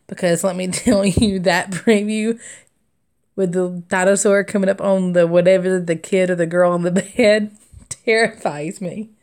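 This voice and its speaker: A woman's voice: mid-range voice, a little mellow. A woman in her thirties with a Southern US accent, chatting casually and laughing often as she hosts a live stitching stream and reads out viewer comments. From Southern United States. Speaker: cross-stitch livestream host.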